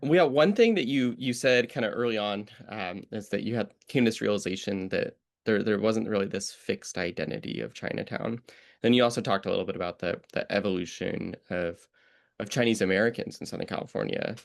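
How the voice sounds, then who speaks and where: A man with a low, gravelly voice hosts an educational interview podcast. He welcomes his guest warmly and asks long, earnest questions. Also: fairly quick. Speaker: podcast host.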